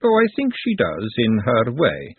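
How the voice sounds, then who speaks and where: A man voicing a poised, haughty, exacting upper-class woman in an audiobook, with formal, measured, precise phrasing. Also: mid-range voice, wildly swooping pitch, husky, no room sound, full character voice. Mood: composed, mildly condescending. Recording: narrow phone-like sound, very dry.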